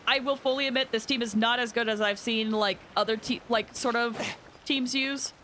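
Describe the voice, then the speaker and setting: High, bubbly woman livestreaming a game. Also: moderate pace, a little hiss or hum. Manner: bubbly, expressive, thinks aloud. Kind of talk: casual gameplay chat with viewers. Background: game music.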